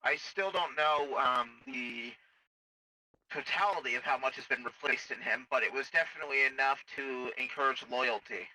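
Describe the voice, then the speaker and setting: A man's voice: mid-range voice, normal speed, bright, forceful tone, no echo, recorded from a distance, rough recording. A man playing a worried, cautious technician in a sci-fi game role-play, explaining things hesitantly. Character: worried, cautious technician analysing cybernetic implants.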